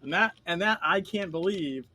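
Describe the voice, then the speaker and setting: A man co-hosting a casual chat, telling stories in a rambling, animated way and swearing freely. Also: sits high, normal speed, a little hiss or hum. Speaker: podcast co-host.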